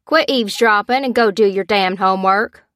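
A woman voicing a gruff, angry father in an audiobook, growling and barking with sarcastic exasperation. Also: medium pitch, moderate pace, fairly bright tone, dry recording, clean studio sound, close to an everyday voice. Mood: angry scolding. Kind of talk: audiobook character dialogue.

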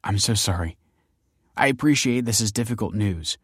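A man's voice: lowish voice, animated, normal speed, slightly rough, no room sound, clean studio sound. A man voicing an earnest, apologetic female ministry counsellor with a formal, reassuring way of talking, in an audiobook. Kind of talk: audiobook character acting.

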